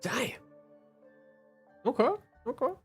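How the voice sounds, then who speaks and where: A man's voice: very high voice, expressive, slow pace, a bit bright, husky, faint music behind. A man streaming a game, giving casual, joking live reactions in slangy speech.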